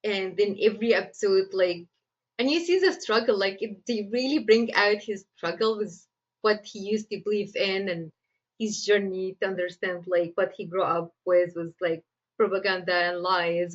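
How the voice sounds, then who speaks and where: A woman with an Egyptian accent talks freely about writing in a relaxed interview. She fills her speech with "like" and breaks into laughter often. The recording sounds a bit muffled. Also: medium pitch. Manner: chatty, laughs often, lots of "like" fillers. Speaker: screenwriter guest. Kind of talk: casual interview answers.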